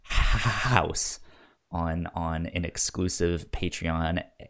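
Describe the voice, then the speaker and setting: A low-pitched male podcast host chatting casually about films. He stammers often, doubling back on syllables and words as he talks in an informal, conversational way. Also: steady tone, slow pace, fairly bright tone. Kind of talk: casual film review chat.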